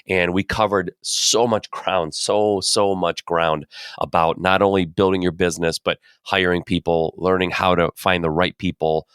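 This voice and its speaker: Sick guy hosting a podcast, really deep smooth voice, super dry sound, keeps chatting upbeat. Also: no echo. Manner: energetic, chatty, repeats phrases. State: under the weather, voice deeper than usual. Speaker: podcast host. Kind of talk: casual live Q&A talk.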